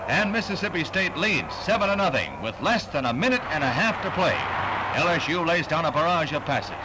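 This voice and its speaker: A man's voice: fairly high pitch, big pitch swings, brisk, very noisy. An American man reading scripted newsreel narration in a formal, declamatory announcer style on a 1960s film soundtrack.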